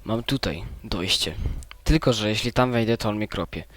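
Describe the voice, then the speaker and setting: A young man streaming a chess game, thinking his moves through aloud in a low mutter, now and then breaking out in frustration. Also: moderate pace, a bit bright, no room sound. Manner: muttering, mulling moves half to himself; bursts of frustrated outcry. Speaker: gaming streamer.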